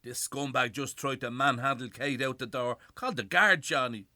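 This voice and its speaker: A man's voice: mid-range voice, moderate pace, sharp tone, dry recording, studio quality. An actor playing a retired Irish policeman, a loving but defiant old husband, in a radio play. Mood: outraged, defiant. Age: older.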